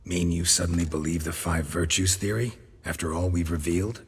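An actor playing a gruff, practical swordsman in a video game, speaking in terse, dry, clipped phrases. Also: sits low, fairly even intonation, fairly quick, slight background noise. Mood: dry, wry. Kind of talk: video game dialogue acting.

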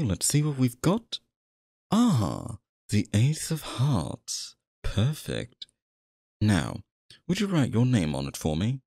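A man acts out a sinister male stage magician in an ASMR roleplay, courtly on the surface and possessive underneath. His lowish voice swoops expressively through theatrical, coaxing phrases. The sound is dry and close, with no room around it. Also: creaky, a little mellow, no room sound, full character voice. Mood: coaxing, teasing.

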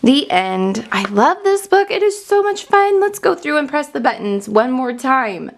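A woman reading a children's storybook aloud in an excited, expressive manner and enunciating for young listeners. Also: high-pitched, a little slow, vocal fry, slight background noise.

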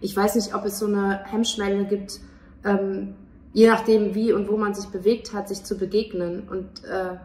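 A young woman speaking casually and spontaneously as an interview guest, halting as she searches for words. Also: mid-range voice, little pitch movement, a little mellow, recorded from a distance. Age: young adult. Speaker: stage performer and interview guest. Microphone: handheld interview microphone. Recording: echoey, slightly noisy, off-mic.

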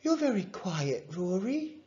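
A caring storybook mother, as the reader puts her on, speaking high and gently. The recording is dull and lo-fi. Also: sing-song, measured pace. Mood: concerned.

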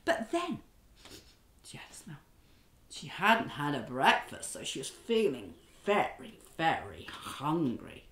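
A middle-aged woman leading a children's drama class and telling a story in an animated, expressive, encouraging way. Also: low voice, echoey room, slight background noise.

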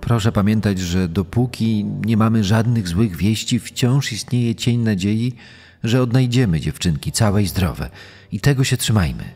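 A man voicing a consoling, subdued young caretaker with a husky voice in a true-crime dramatisation. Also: on the low side, measured pace, a little mellow, faint music behind. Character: consoling young caretaker speaking to a grieving father.

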